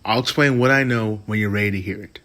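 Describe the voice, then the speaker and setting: A man playing a calm, professional mercenary bodyguard in a sci-fi audio drama, speaking in a measured, matter-of-fact way. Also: on the low side. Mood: calm, firm.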